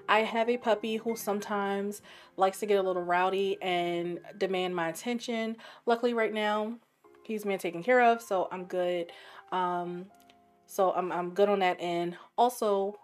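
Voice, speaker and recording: A woman with a raspy voice and a sore, scratchy throat, chatting casually while narrating her gameplay and thinking aloud. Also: medium pitch, unhurried, faint music behind. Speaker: game streamer.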